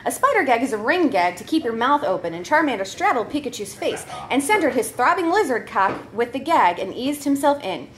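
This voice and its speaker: A woman's voice: high voice, very animated, brisk, hardly any pauses, fairly bright tone, a little hiss or hum. A woman reading a comic story aloud as a performer, giggly and prone to breaking up as she goes. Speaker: comedy troupe member reading a story.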